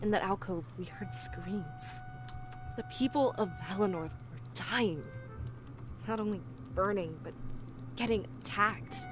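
A woman's voice: mid-range voice, unhurried, pauses often, over music, lightly acted. An actress playing an earnest, questioning young female fox-like villager in a video game, serious and insistent. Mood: troubled, insistent.

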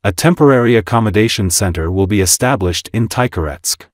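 A man with a low voice reads the news in a formal, even tone. The recording is dry and close, with no room around it. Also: a little fast, dry recording. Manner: flat, formal reporting delivery. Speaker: news narrator. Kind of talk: scripted news voice-over.

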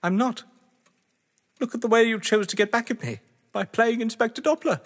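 An actor playing a proud, eloquent, solitary gentleman who relishes games, in a theatrical audio drama style. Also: very high-pitched, normal speed. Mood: insistent. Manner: grandiloquent, theatrical. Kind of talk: full-cast audio drama acting of a stage play. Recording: long hall reverb.

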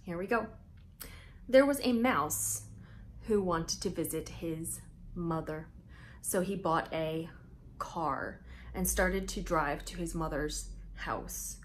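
Expressive woman reading to children, noisy recording. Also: fairly low pitch. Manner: expressive, drawn-out emphasis on key words. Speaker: children's storyteller and host. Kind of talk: animated picture-book read-aloud for young children.

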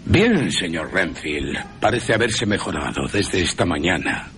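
A man voicing a grave, assured professor who hunts vampires, speaking emphatically and deliberately in a radio play with music underneath. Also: low voice, expressive. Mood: courteous.

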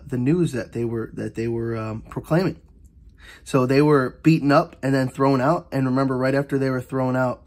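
A man's voice: medium pitch, a little mellow. A man giving an informal Bible study lesson. He speaks earnestly, often repeats himself and restarts with fillers. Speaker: Bible teacher. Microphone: voice-over on an overhead camera view of an annotated Bible.

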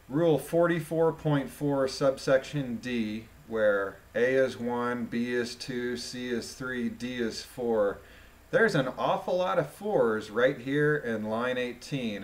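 A man with a medium-pitched voice reads from a document and comments on it informally. His delivery is deliberate and musing, as if thinking it through while he goes. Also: poor audio. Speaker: commentator reading a court transcript aloud. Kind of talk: read-aloud with informal commentary.

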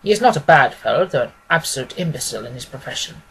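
A man's voice: mid-range voice, very animated, quick pace, fairly bright tone, slightly rough, pitched below the performer's own voice, exaggerated voice, poor audio. A man voicing a clever, commanding detective in a storybook, confident, severe and precise. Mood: confiding.

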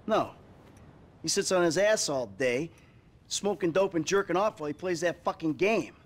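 Bitter middle-aged American crook, played by an actor. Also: male voice, on the high side, normal speed, natural voice. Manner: sarcastic, world-weary. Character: bitter, foul-mouthed middle-aged family man and career criminal. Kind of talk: video game cutscene dialogue.

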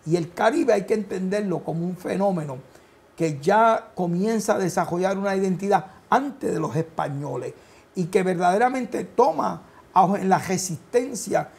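A man, explaining deliberately and didactically as a guest on a studio panel. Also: high voice, animated, darkish tone. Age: 60s. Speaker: panel guest, cultural center director. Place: television studio. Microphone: clip-on lapel mic.

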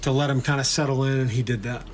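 A middle-aged man, a baseball manager, answering reporters' questions after a game in a measured way, with frequent fillers and restarts. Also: mid-range voice, fairly even intonation, a little hiss or hum. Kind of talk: postgame press conference answers. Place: indoor press conference room.

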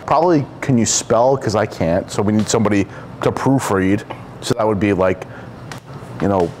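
A young man chatting casually, joking at his own expense in a deadpan way. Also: on the low side, normal speed. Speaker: show host and business owner. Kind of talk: casual chat. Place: indoor garage workshop. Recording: background noise in a workshop.

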